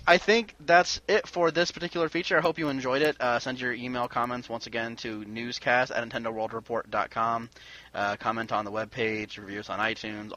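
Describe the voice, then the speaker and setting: A man's voice: medium pitch, pushed and bright, muffled recording. A man in his early thirties hosting a casual podcast discussion about video games. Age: early 30s. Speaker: podcast host.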